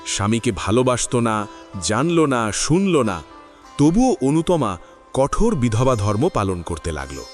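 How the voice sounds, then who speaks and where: Male narrator, expressive story reader, lively intonation. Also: mid-range voice, measured pace, faint music behind. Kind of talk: scripted literary narration.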